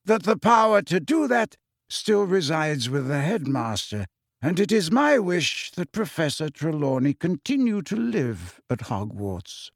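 A man voicing a calm, courteous old male wizard headmaster who speaks pleasantly but firmly. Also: a little high, measured pace, vocal fry, no echo, studio quality, full character voice.

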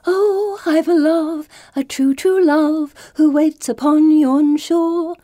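A woman voicing an excitable, girlish young lady in an audiobook, gushing and singsong, gleeful and silly. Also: fairly high pitch, normal speed, no room sound, clean studio sound, pitched above the performer's own voice. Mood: merry singsong. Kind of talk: audiobook character voice.

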